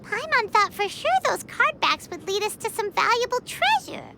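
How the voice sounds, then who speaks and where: A woman voicing a tiny, excitable, childlike fairy girl in an anime-style game, with a squeaky voice. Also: moderate pace, gravelly, noisy background, heavily acted. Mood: disappointed. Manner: childish, refers to herself by name.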